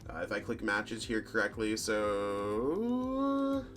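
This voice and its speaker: A man with a slightly hoarse voice, hosting a casual, rambling commentary over card game deck lists, full of fillers and self-corrections. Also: on the low side, expressive, slow pace, fairly bright tone, soft background music.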